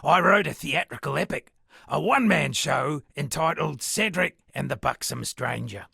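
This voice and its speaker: An actor playing an eccentric shipwrecked sea captain and pompous amateur poet, speaking florid, dramatic game dialogue in a gravelly, husky voice. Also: male voice, fairly high pitch, animated, moderate pace, pushed and bright, dry recording, studio quality, full character voice. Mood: wistful, wry. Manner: florid, dramatic, alliterative declaiming. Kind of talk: theatrical video game character dialogue.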